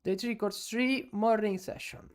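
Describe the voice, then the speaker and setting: A man from Italy with an Italian accent, giving halting casual live-stream commentary on taekwondo fights and answering viewers. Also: sits high, steady tone, smooth. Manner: halting non-native English with many "uh"s, chatting with viewers.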